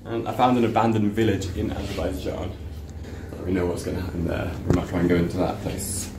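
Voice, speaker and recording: Young man, low easy voice. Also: level tone, brisk, noisy background. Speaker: travel companion. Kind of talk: casual travel chat. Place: outdoors and in cars. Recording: music playing underneath.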